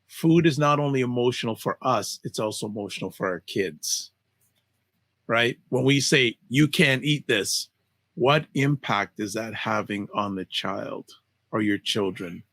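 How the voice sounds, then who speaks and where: Friendly podcast host, dry sound. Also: male voice, medium pitch, a little slow, fairly bright tone, no echo. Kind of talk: casual podcast chat.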